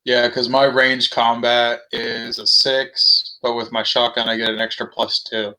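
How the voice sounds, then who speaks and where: A man with a bright, sharp-edged voice at a medium pitch chats as a player in a tabletop game. His talk is casual. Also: level tone, a little slow, poor audio.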